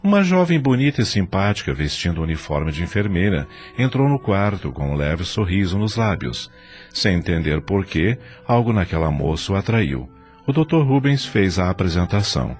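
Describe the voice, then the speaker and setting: A man's voice: low voice, sing-song, normal speed, a little mellow. A man with a Brazilian accent reading dramatic scripted narration for an audio drama. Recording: music under the voice.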